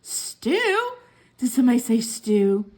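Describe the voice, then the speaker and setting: Storybook pet cat, young, naive and eager, excitable with a pouty streak, high-pitched female reader performs. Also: slow pace, full character voice. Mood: excited, eager.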